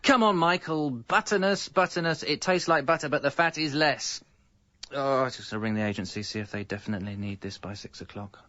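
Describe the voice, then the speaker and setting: An actor playing a hapless, self-pitying separated dad in a radio comedy, rueful and wry as if talking to himself. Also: male voice, medium pitch, fairly quick, bright, forceful tone, no echo, muffled recording, lightly acted. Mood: muttering, distracted. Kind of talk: radio comedy monologue and dialogue.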